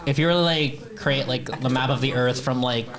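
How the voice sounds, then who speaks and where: A man's voice: medium pitch, moderate pace, crowd noise. A teenage boy from the Philippines chatting casually at a table with other students, rambling with many fillers and restarts. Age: teens. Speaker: student club member. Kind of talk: casual round-table chat.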